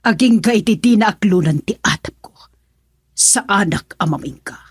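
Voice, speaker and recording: A bitter, suspicious Filipino mother in a radio drama. Her husky voice swings widely in pitch with wounded, theatrical feeling, and the sound is dry and close. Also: expressive, moderate pace, no echo. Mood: bitter, agitated.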